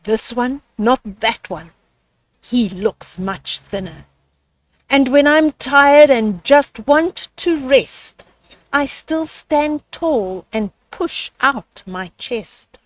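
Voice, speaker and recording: A woman's voice: high-pitched, animated, drawn-out words, distant mic, heavily acted. A woman voicing a proud, mighty male lion king in a children's storybook, boastful and commanding. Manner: purring, boastful. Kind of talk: children's storybook read-aloud.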